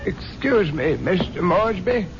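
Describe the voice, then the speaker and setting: An actor playing a deferential, worried butler, formal and apologetic, in a 1970s radio drama. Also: male voice, sits high, expressive, unhurried, loud music behind the voice. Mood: polite, worried. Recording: dull vintage radio recording, faint noise.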